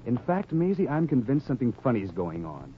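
A man's voice: medium pitch, sing-song, fairly quick, lightly acted. A man playing an earnest, desperate husband, pleading urgently in a 1940s radio comedy. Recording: muffled, hissy 1940s radio recording.

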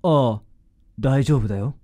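A man acting in a radio drama as a middle-aged father of a grown daughter, with a husky voice. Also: medium pitch, measured pace, dark tone, natural voice. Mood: concerned.

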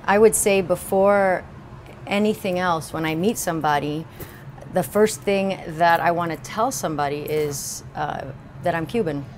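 A woman in her forties from Miami, a restaurant owner speaking in a seated outdoor interview, relaxed and full of filler pauses. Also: on the low side. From Miami, Florida. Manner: relaxed, many filler uhs, seated on a bench. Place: outdoor porch of a small building.

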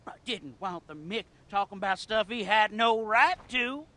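A man's voice: sits high, expressive, normal speed, bright, forceful tone. An actor playing a twitchy, stammering biker gang underling full of excuses, in a video game. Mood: sly taunting. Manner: agitated, stammering, pleading. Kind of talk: video game cutscene acting.

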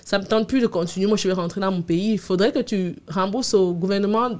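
A woman's voice: medium pitch, quick pace, darkish tone. A woman in her thirties answering interview questions casually, hesitating and repeating words as she explains. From abroad, immigrant to Canada. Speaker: podcast guest. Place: small indoor podcast studio. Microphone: studio microphone on boom arm with headphones.

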